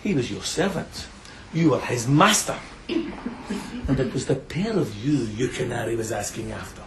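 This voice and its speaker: An actor playing a sadistic bandit chieftain in a radio drama, theatrical and mocking, relishing every word with menace. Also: male voice, a little high, slight background noise, rough recording. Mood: quiet menace. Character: gloating, sadistic bandit chieftain taunting bound captives.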